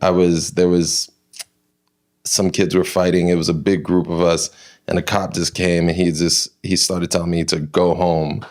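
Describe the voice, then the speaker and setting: Brief: male interview guest from New York, very low voice, chatty storyteller, loose with fillers. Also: moderate pace. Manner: animated retelling, many fillers and restarts. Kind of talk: casual interview, personal storytelling.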